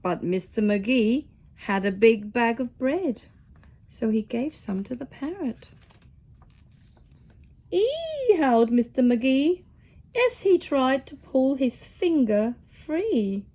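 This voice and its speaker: A woman with a high, very animated voice reads a picture book to young children. Her tone is soft and mellow and she takes her time, dropping into hushed asides as she goes. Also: takes it slowly. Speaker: children's storybook reader, teacher.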